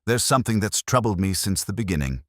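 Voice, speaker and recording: A man voicing a brooding, cerebral gentleman detective in an audiobook, grave and deliberate. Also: fairly low pitch, lively intonation, no echo, clean studio sound, voice pushed up. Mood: grave, pensive. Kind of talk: audiobook character reading.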